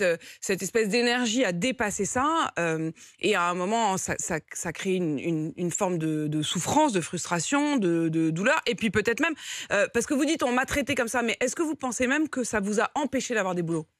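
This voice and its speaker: A woman hosting a morning radio show, questioning a caller gently and a little hesitantly. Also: medium pitch, lively intonation, brisk, no room sound, studio quality. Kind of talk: radio interview. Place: radio studio.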